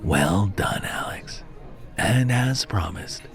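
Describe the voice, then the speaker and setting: A man's voice: fairly low pitch, expressive, slow pace, soft background music. A man voicing a sadistic old supernatural gambler in a horror story, gleefully taunting and drawing out his words.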